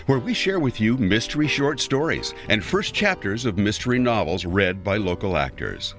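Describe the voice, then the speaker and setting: Male podcast announcer, lowish and lively, scripted intro over soft guitar music.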